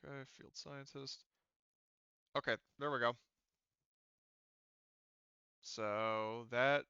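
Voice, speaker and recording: A man's voice: mid-range voice, creaky, bright, forceful tone, smooth, no room sound, dull audio. A man acting as game master in a casual online tabletop game, explaining things in a hesitant way.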